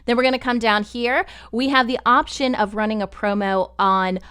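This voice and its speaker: A woman giving a conversational, step-by-step software tutorial in an explanatory style. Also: fairly high pitch, steady tone, normal speed, clear, resonant tone. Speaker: podcast network instructor.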